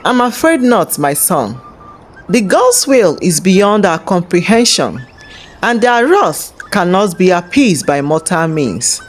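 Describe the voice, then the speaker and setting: A man's voice: high voice, sing-song, slow pace, full character voice. A woman voicing a grave old male village healer in a folktale, solemn and resigned. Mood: grave, sorrowful. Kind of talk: folktale storytelling.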